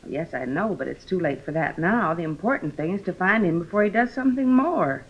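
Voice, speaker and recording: An actress playing a worried, guilt-ridden sister in a 1950s radio drama, hesitant and apologetic, on a muffled old recording. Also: female voice, on the low side, animated, fairly quick, slightly rough. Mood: anxious.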